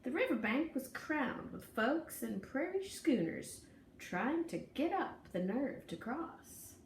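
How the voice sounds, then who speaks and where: Woman storybook reader, very animated pitch, unhurried, folksy dramatic emphasis, echoey room. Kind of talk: expressive children's story read-aloud. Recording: echoey room, distant mic, faint noise.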